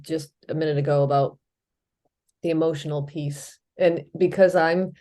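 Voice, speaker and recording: Low-voiced woman podcast co-host. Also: steady tone, normal speed, mellow. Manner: thoughtful, lists ideas with many fillers. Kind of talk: casual conversational podcast.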